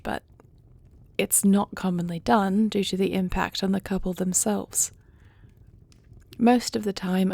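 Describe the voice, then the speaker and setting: A woman acts a gracious dragon queen for an audio roleplay. Her voice has a light fry at its edges and sits very dry. She speaks formally and with measured care, like a loving, earnest monarch. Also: medium pitch, darkish tone, no room sound. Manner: formal, measured, stately diction. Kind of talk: scripted audio roleplay acting.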